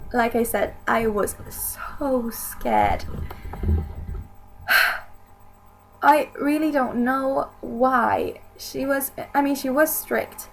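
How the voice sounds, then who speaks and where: Slow, gravelly young woman. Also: on the high side, slight background noise, poor audio. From Sweden. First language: Swedish. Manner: hesitant and rambling, many restarts. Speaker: YouTuber. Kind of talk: casual chat to camera.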